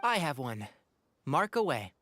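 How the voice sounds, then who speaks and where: A man's voice: a little high, sing-song, a little slow, fairly bright tone, a little hiss or hum. An actor playing an eager, diplomatic young man in a video game, polite and tactful.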